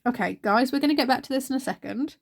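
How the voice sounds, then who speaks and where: Tired woman with a creaky voice. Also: high-pitched, fast. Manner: chatty, self-mocking, talks to her cat. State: fluey and tired. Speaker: teacher and budgeting vlogger. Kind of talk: casual chat to camera. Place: at home, indoors.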